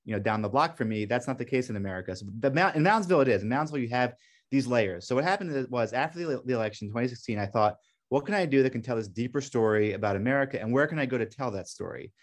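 A middle-aged man from Belgium, a documentary director, answering questions in a radio interview in an earnest, reflective way with frequent restarts. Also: medium pitch.

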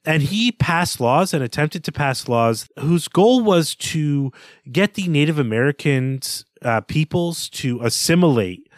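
A man's voice: fairly high pitch, animated, a little slow, no echo, clean studio sound. A man co-hosting a podcast, discussing books conversationally in a bookish, analytical way. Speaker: podcast co-host.

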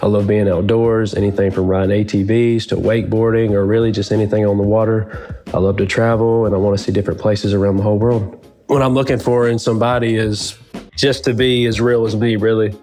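A man's voice: lowish voice, little pitch movement, brisk, warm tone, faint music behind. A man in his twenties from South Carolina, chatting casually as a dating show contestant. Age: 20s. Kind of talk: casual chat.

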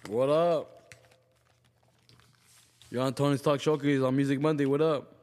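A man's voice: mid-range voice, steady tone, a little slow, darkish tone. A man hosting a radio call-in show, chatting casually with listeners.

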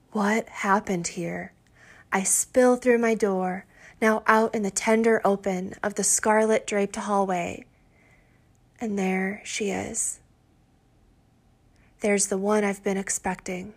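A woman poet reading her own work aloud in a measured, literary style. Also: medium pitch. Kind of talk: poetry reading.

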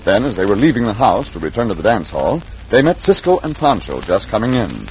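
An American man narrating a 1940s radio serial with dramatic, crisp storytelling. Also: lowish voice, big pitch swings, brisk, darkish tone, a little hiss or hum. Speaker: announcer and narrator. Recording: muffled vintage radio, faint organ music.

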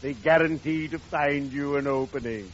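An actor playing a morbidly genial undertaker in an old radio comedy, solemn, formal and punning. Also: male voice, a little high, close to an everyday voice. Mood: droll. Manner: formal, grandly deliberate, punning. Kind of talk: 1940s radio comedy acting. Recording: dull, noisy old radio recording.

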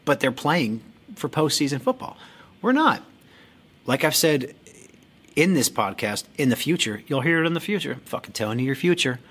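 A man's voice: mid-range voice, a bit bright, breathy edge. A man hosting a soccer fan podcast, talking frankly about his club's loss with jokes and swearing. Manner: frank, joking, swears freely. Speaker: podcast host. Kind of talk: casual fan podcast monologue.

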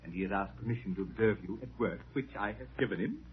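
An actor playing a pompous, self-important hospital chief with effusive formality, in old-time radio comedy style. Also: male voice, lowish voice, fast, slight background noise, natural voice. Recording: tinny old radio recording.

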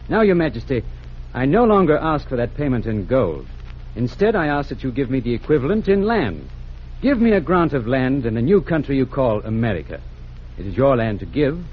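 Radio play role: resolute young nobleman played by a male actor, declamatory and formal, animated pitch, dull slightly noisy old recording. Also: close to an everyday voice. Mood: insistent. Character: earnest, determined young English gentleman petitioning a king. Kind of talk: 1940s radio drama acting.

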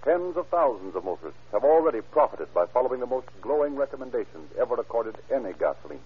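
A muffled old radio recording of an American man announcing. His voice is mid-pitched and heavily rasped. He delivers scripted lines formally and declaratively, in the orotund manner of 1930s broadcasting. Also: very animated, fast. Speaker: announcer and sponsor spokesman.

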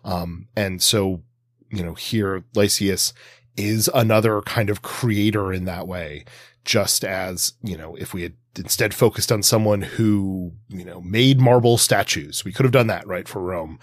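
A man's voice: deep voice, a little slow, dry recording, clean studio sound. A man co-hosting a podcast in casual analytical discussion, thinking aloud and rephrasing as he goes. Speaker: podcast co-host.

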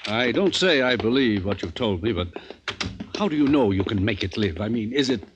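An actor playing a friendly, concerned clergyman in a 1950s radio drama, conversational and gently questioning. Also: lowish voice, expressive, brisk, lo-fi sound, natural voice. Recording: noisy, hissy 1950s radio broadcast.

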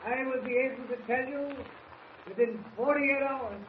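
An actor playing a solemn, evasive impostor posing as a superhero, commanding and portentous, in 1940s radio style. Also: male voice, very high voice, moderate pace. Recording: muffled old radio recording, faint noise.

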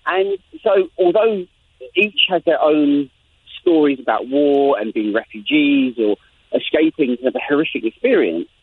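An Englishman with lively pitch over a tinny phone line. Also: unhurried, breathy edge, dry recording. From England. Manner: animated, frequent restarts and repetitions. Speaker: guest journalist.

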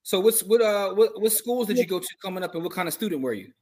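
A man's voice: high voice, animated, darkish tone. A man hosting a podcast, talking in an upbeat, slangy, casual way as he interviews a guest. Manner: fast, upbeat, slangy banter. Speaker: podcast host.

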